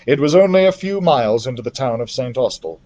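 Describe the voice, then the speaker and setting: A man reading a children's adventure novel aloud as a story-time host, with an animated, theatrical delivery. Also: mid-range voice, fast talker, lo-fi sound.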